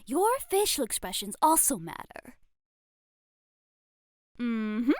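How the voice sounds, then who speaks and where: A woman's voice: on the high side, sing-song, takes it slowly, dry recording, clean studio sound. A woman playing a dramatic, coquettish society lady who teaches wooing in a scripted ASMR roleplay, with prim, theatrical phrasing. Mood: playful instructing. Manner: prim, theatrical, swooning flourishes.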